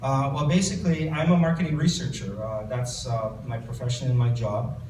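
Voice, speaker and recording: A man's voice: mid-range voice, fairly even intonation, fairly quick, a little mellow. A Canadian man, an author, reading from his book and then answering audience questions at a bookstore event, with many fillers and restarts. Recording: roomy distant recording with background noise.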